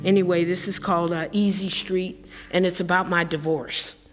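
A woman's voice: on the low side, steady tone, moderate pace, crowd noise. Woman, middle-aged, singer-songwriter, rambling onstage story, chatty, long hall reverb. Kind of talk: storytelling between songs at a live show. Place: live concert venue with audience.

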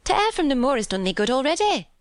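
A young Yorkshire servant girl in a storybook, voiced by a woman, with a clear tone raised a little high. She is kindly and plain-spoken, in a close, dry recording. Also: very animated, fast talker, no room sound. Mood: cheerful, encouraging. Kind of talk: audiobook character dialogue.

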